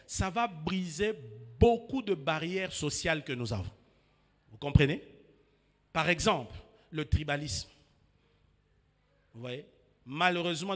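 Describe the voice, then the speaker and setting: A high-pitched, bright-voiced pastor from DR Congo preaches with fervor, drops to soft asides and then rises to ringing shouts that pull his listeners into call and response. His voice rings out through a church hall with a long reverb tail. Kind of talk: sermon.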